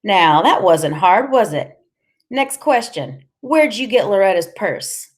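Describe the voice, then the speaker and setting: A bullying male gang boss from a storybook, acted by a woman narrator. He taunts and orders people about in clipped phrases. The pitch swings widely as he leans on his threats. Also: big pitch swings, takes it slowly. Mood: menacing, impatient. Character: menacing biker gang boss, gruff bullying man.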